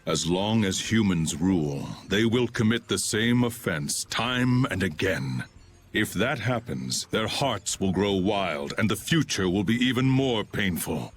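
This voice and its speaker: An actor playing a grave, aloof swordsman bitter about humanity, speaking in a solemn, formal, measured way in a video game. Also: fairly low pitch, animated, soft background music. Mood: resolute, somber. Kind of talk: dramatic video game voice acting.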